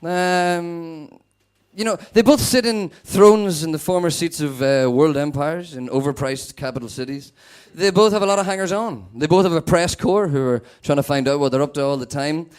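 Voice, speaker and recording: A young man from Northern Ireland with a Northern Irish accent, performing a stand-up set with a sweary, deadpan manner. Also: fairly high pitch, normal speed, clean tone. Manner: sweary, animated, deadpan asides. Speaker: stand-up comedian.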